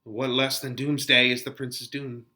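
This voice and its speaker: A man playing a young banished lover in a dramatic Shakespeare reading, melodramatic and anguished, with shouted outbursts. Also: mid-range voice, flat delivery, a little slow, pitched below the performer's own voice. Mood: anxious. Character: young Romeo, banished lover, frantic and despairing.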